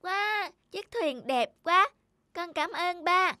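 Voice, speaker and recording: A woman voicing an eager, admiring little boy in a cartoon, with an excited childlike manner. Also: very high voice, slow pace, no echo, clean studio sound, exaggerated voice. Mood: delighted. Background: background music.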